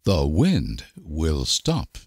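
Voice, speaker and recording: A man reading short example sentences for language learners with deliberate, careful enunciation. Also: a bass voice, huge pitch swings, breathy edge, dry recording, clean studio sound. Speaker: language teacher.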